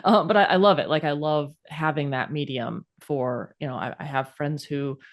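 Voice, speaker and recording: A woman's voice: low voice, moderate pace. A woman, an author hosting the show, chatting casually and enthusiastically, with frequent affirming "yes" and "yeah". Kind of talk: casual interview chat.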